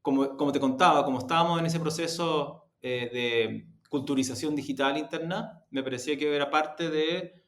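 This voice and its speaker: Creaky-voiced man in a roomy interview. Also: on the high side, moderate pace. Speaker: executive interview guest. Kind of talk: conversational interview answers. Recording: roomy, echoing sound.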